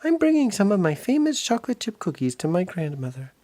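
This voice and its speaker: A man's voice: high-pitched, huge pitch swings, fairly quick, dark tone, voice pushed up. Rich: A sweet, polite little storybook girl, as a dad puts her on with a raised, sing-song voice that climbs and dips with real liveliness. She speaks carefully and a little breathlessly, eager to be nice to everyone.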